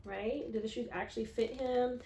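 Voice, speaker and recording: A woman reading a children's picture book aloud expressively, acting out the dialogue and pausing to put questions to listeners. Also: on the high side, fairly even intonation, dark tone, roomy sound, slight background noise. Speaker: read-aloud storyteller.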